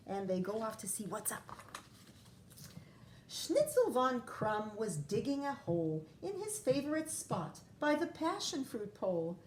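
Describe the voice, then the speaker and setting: A woman teacher reads a picture book aloud for her first graders, taking it slowly. She stops often to ask the children questions and explain new words. A faint hum of room noise sits under her voice. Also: a little high. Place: classroom or home, recorded for video.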